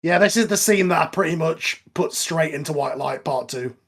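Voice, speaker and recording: A man with a fairly high-pitched voice gives loose, conversational movie commentary. He talks as one of a group watching along, wry and joking as he goes. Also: normal speed, vocal fry, a bit bright, slightly rough. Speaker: audio drama writer on cast commentary track. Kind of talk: casual film commentary chat.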